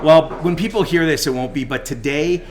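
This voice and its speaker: A man's voice: on the high side, a little fast, noisy background. A middle-aged or older man with a Canadian accent co-hosting a casual podcast chat in an exuberant, jokey, exclamatory way. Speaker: podcast co-host. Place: coffee shop.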